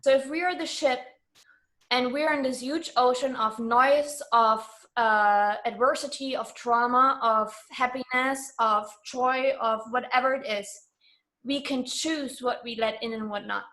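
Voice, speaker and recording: High-voiced woman, earnest interview guest. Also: steady tone, measured pace, bright, forceful tone. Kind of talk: conversational interview.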